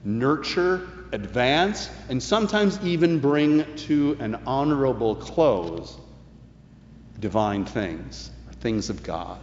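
A male pastor delivers a sermon with earnest, measured phrasing. His pitch rises and falls in a lively way as he leans on key phrases. The sound carries the long, echoing reverb of a big hall. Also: lo-fi sound, a little hiss or hum. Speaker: pastor preaching.

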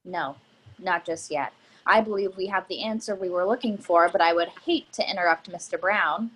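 A woman's voice: on the low side, normal speed, fairly bright tone, slightly rough, rough recording. A woman playing a stern, accusing interrogator in a play reading. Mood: controlled, probing.

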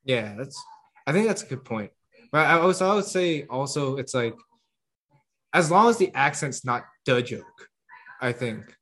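A man chatting casually on a podcast, animated, laughing often and tripping over repeated words. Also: mid-range voice, a little slow, long pauses. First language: English. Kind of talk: casual chat.

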